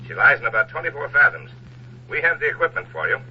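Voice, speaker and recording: An actor playing an authoritative Navy admiral, formal, urgent and commanding, in a 1940s radio drama. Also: male voice, medium pitch, big pitch swings, fast talker, natural voice. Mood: urgent, official. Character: brisk, authoritative male Navy admiral giving orders. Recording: muffled 1940s radio recording, faint noise.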